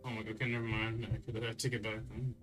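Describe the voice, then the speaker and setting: A man's voice: fairly low pitch, level tone, fast, fairly bright tone, faint music behind. A young man in a casual gaming reaction chat, opinionated, full of slang and swearing.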